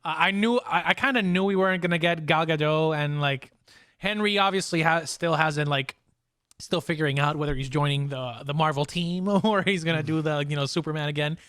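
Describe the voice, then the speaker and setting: Male podcast host, higher pitch, dry close sound, excitable and slangy, casual entertainment review chat. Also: brisk, clear, resonant tone, dry recording. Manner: excitable, slangy fan talk.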